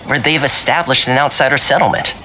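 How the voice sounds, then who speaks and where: A man's voice: medium pitch, fairly even intonation, a little fast, noisy background, natural voice. A man playing an easygoing, affable young male guide in a video game, breezy and politely cajoling. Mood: easygoing, explaining. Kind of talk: video game character acting.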